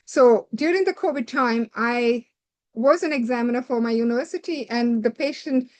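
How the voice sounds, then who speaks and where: High-voiced woman tutoring exam candidates. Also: normal speed. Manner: direct, instructive, repeats points with "so".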